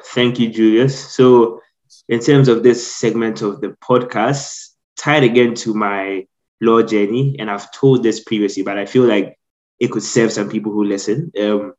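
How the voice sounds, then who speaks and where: A young man with a Ghanaian accent, a guest telling his own story in an earnest, measured way. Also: fairly low pitch, darkish tone, highs cut off. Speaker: guest, trainee solicitor. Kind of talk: reflective personal storytelling.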